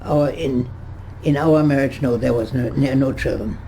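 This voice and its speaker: Gravelly, halting woman over 100. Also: extremely low pitch, steady tone, mellow, slight background noise. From Germany. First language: German. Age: 100s. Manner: halting, many restarts and searches for words. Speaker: interviewee. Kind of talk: reminiscing in conversation. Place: her home.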